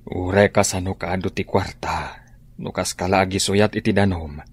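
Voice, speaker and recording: A man's voice: sits low, steady tone, normal speed, slightly rough, no room sound. An actor playing a scornful, gossiping village man in a radio drama.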